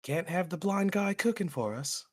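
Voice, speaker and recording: A man's voice: sits high, expressive, moderate pace. A man voicing a menacing male rogue who keeps calling people mate, taunting and threatening. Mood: playful. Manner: threatening, playful sing-song taunts. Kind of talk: in-character roleplay.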